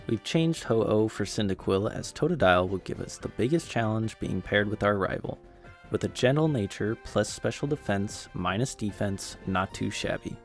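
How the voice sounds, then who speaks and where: A man's voice: fairly low pitch, lively intonation, moderate pace, soft background music. A man narrating scripted gameplay commentary in a casual, wry, self-deprecating way. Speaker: gaming video creator and narrator.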